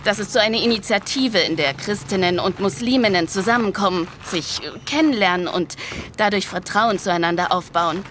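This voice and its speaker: A woman's voice: medium pitch, normal speed, a bit bright, a little hiss or hum, natural voice. A woman playing a friendly, concerned nun in an audio drama, explaining things in a conversational, slightly hesitant way. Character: friendly, concerned Nigerian nun working for peace. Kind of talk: interactive audio drama dialogue.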